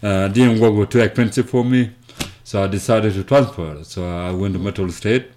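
Man from South Sudan, very deep voice, halting speech, lots of fillers, interview guest. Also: mellow, a little hiss or hum. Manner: halting, many uh fillers and restarts. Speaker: guest, nonprofit founder. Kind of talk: interview, personal story.

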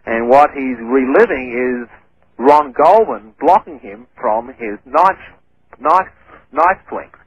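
A man's voice: mid-range voice, takes it slowly. A man with a speech stutter, repeating words as he explains things in a radio interview. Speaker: reverse speech researcher guest. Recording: muffled lo-fi radio broadcast with faint hiss.

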